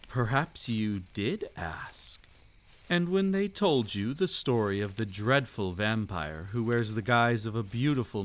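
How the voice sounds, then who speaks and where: Man playing a slow-talking, seductive vampire count. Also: lowish voice, slight background noise. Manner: slow, suave, teasing, theatrically formal and possessive. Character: seductive, commanding vampire count, ancient but youthful-looking man. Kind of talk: intimate roleplay audio drama, scripted monologue.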